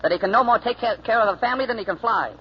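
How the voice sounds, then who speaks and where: An actor plays a forceful young man in a vintage radio drama. He talks fast and high, bluntly and responsibly. The old recording is dull. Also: a little hiss or hum, natural voice. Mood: indignant. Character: indignant, responsible brother, a young man about to marry. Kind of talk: 1940s radio drama acting.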